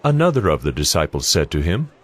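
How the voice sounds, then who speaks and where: A man's voice: extremely low pitch, very animated, fast talker. A man narrating scripture from a script in an audio drama.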